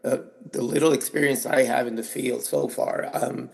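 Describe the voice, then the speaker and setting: A man's voice: mid-range voice, steady tone, normal speed, creaky, darkish tone, long reverb tail, rough recording. A man whose first language is Spanish, an actor speaking English in a hesitant, thoughtful way on a video call. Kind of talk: casual panel chat.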